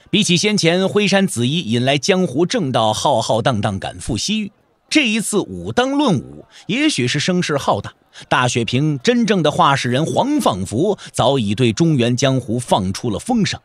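A man's voice: fairly high pitch, sing-song, moderate pace, no echo. A man narrating a martial-arts audio drama in a dramatic, theatrical way, stretching phrase endings for emphasis, close to the microphone. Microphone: close studio microphone.

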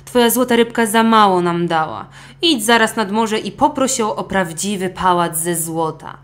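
A woman's voice: medium pitch, normal speed, pitched above the performer's own voice, natural voice. A woman voicing a haughty, demanding fisherman's wife turned queen in a children's storybook, imperious and scornful.

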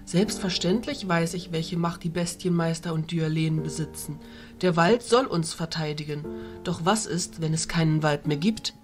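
Low-voiced, composed fairy leader, played by a woman. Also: faint music behind, lightly acted. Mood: composed, solemn. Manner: formal, measured. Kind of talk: amateur audio drama acting.

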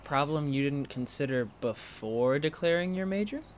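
An actor playing an inquisitive, teasing college student in a fiction podcast, with a prodding, excitable manner. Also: male voice, on the high side, normal speed, a little hiss or hum, lightly acted. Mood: incredulous. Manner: prodding, animated, incredulous.

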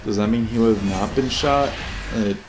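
A man's voice: on the low side, fairly quick. Rambling male game commentator over music. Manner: rambling, musing, questioning. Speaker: video game reviewer. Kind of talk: casual opinionated commentary over cutscenes.

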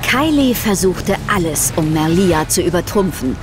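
Low-pitched woman storybook narrator. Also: sing-song, moderate pace, over music. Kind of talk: scripted storybook narration for children.

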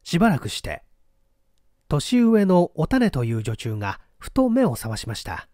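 A man reads a scripted mystery story in a measured storyteller's delivery. His voice sits a little high for a man and moves through a wide, lively range of pitch. The sound is dry and close, with no room around it. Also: no echo. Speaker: audiobook narrator, small-theatre stage actor. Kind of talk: scripted narration, mystery novel read aloud.

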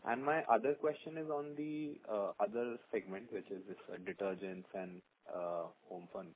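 A man's voice: fairly low pitch, steady tone, moderate pace, darkish tone. A man putting questions to a company as an investor on an earnings call. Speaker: investor caller asking questions. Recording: noisy call line.

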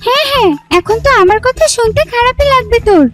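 A woman voicing a bossy, sharp-tongued wife fox who nags and scolds in a children's cartoon. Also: very high-pitched, quick pace. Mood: scolding. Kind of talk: children's fairy-tale cartoon voice acting. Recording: loud children's music under the voice.

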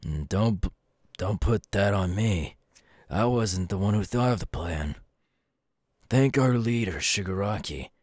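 Casting: male actor as a young villain recruiter for ASMR roleplay, low voice, dry close sound, deliberate and coolly menacing. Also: animated, a little mellow, breathy edge, no echo. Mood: dismissive. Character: young adult male supervillain recruiter, cool, menacing, sly.

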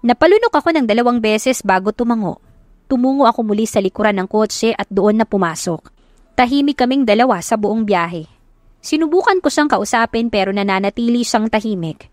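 A woman narrates a first-person love story as a scripted audiobook. Her voice sits at a medium pitch, recorded close and dry with no room sound. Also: no room sound.

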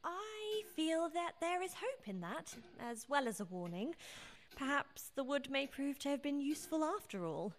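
A woman acting an arch, teasing, excitable society lady in a radio play, genteel and expressive. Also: high voice, normal speed. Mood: wry, hopeful.

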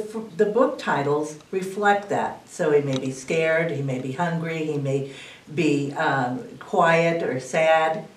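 An older woman reading at a children's library storytime in a slow, animated, sing-song way. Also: lowish voice. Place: library room with wood paneling. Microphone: far camera. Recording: echoey room from a distance.

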